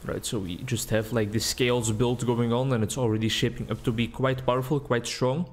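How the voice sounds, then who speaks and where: A young man with a Belgian accent giving relaxed, rambling commentary while playing a game, recorded at home on a desk mic. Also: medium pitch, moderate pace. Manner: rambling, relaxed, thinking aloud. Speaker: gaming video creator. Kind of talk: casual gameplay commentary. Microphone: desk mic with webcam.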